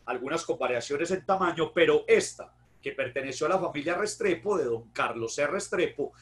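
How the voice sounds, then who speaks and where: A man with a paisa accent from Antioquia giving a conversational history lecture online, often adding tag questions and correcting himself. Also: fairly high pitch. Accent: Paisa Colombian Spanish. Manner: conversational, warm greetings, frequent tag questions, self-corrections. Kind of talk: virtual history talk to an online audience.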